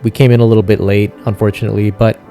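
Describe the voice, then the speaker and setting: A man with a fairly low voice chats casually as he hosts a driving game roleplay. His tone is mellow and easygoing, and his phrasing is a little halting. Faint music plays underneath. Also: level tone. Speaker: gaming video host. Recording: music behind the voice.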